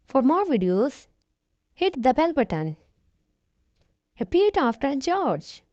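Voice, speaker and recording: A woman hosting an English lesson, clearly repeating each sentence for her learners. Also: high voice, big pitch swings, slow, dark tone, no echo, muffled recording. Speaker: English teacher and channel host. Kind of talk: lesson introduction.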